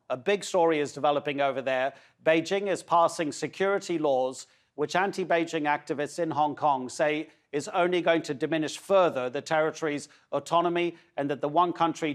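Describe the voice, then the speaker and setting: A man working as a news anchor, asking long, measured questions in a formal interview. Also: fairly high pitch.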